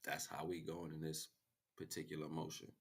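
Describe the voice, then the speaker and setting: Very deep-voiced American man, rhythmic talk. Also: monotone, normal speed, creaky, slightly rough. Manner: rhythmic, rhyming, slangy philosophizing. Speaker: livestream host. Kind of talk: freestyle spiritual monologue to stream viewers.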